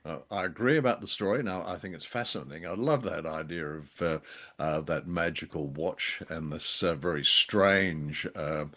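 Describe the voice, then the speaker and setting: Low-voiced man, hesitant podcast co-host. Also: moderate pace, pushed and bright. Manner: hesitant, deliberating. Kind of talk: conversational review of old radio drama.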